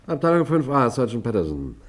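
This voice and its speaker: A man's voice: medium pitch, big pitch swings, a little fast, slight background noise, natural voice. A man playing a composed police sergeant who narrates a radio crime drama in a precise, formal manner. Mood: official, probing. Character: composed police sergeant recounting an old case.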